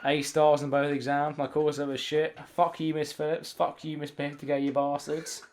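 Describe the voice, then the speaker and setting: A man's voice: a little high, flat delivery, moderate pace, a little mellow, slight background noise. A young British man chatting casually as a podcast co-host, with sweary, joking banter. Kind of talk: casual chat.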